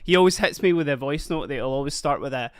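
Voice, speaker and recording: A man's voice: on the high side, fast, clear, resonant tone, no echo, clean studio sound. Chatty Scottish man streaming. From Scotland (Dundee). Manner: chatty, rambling, laughs often, talks while multitasking. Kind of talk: casual livestream chat. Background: faint background music.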